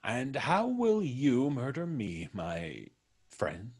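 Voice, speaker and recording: A gloating, menacing ex-convict out for revenge in old-time radio style. His taunts are theatrical and mock-polite, swinging widely in pitch, with a husky edge to the voice. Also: wildly swooping pitch, slow, darkish tone, full character voice. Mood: taunting, menacing.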